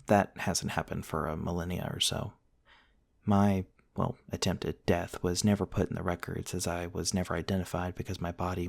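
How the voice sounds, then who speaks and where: A man playing a gentle, courteous centuries-old vampire prince in an intimate ASMR roleplay, soft-spoken and formal, with hesitant pauses. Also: deep voice, vocal fry, slightly rough, no room sound, studio quality, full character voice. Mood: somber, reflective. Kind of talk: intimate ASMR roleplay, storytelling to one listener.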